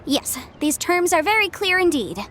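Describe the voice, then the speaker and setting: A woman's voice: extremely high pitch, sing-song, a bit bright, slight background noise, full character voice. An actress playing a composed, polite young female legal advisor in a video game. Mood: poised. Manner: polite, measured, businesslike. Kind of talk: game cutscene voice acting.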